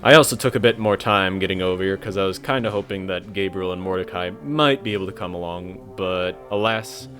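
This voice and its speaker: A man's voice: deep voice, a little fast, few pauses, a bit bright, faint music behind. A young man playing a mild, earnest, slightly hesitant friend in a scripted romantic comedy audio drama. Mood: mild, apologetic. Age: young adult.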